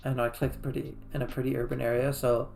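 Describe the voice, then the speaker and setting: A man's voice: mid-range voice, flat delivery, fast talker, creaky, a little mellow. A man from Iceland giving casual game recap commentary in a loose, conversational way. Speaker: gaming video creator. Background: faint background music.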